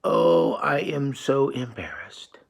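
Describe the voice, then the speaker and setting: A nervous, pleading furry monster from a picture book, put on by a parent reading aloud. The voice jumps high and swoops around, drawing each word out slowly with a breathy edge. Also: sing-song, exaggerated voice. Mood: sheepish. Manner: slow, drawn-out pleading.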